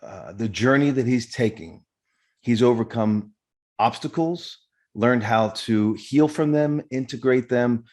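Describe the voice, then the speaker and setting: A man's voice: on the low side, darkish tone. A male podcast host giving an earnest, effusive introduction and asking questions, with fillers and restarts.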